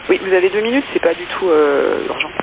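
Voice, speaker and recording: A man's voice: sits high, expressive, brisk. A man, an airport operator, in an informal exchange over aviation radio. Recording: hissy narrowband aviation radio, heavy static.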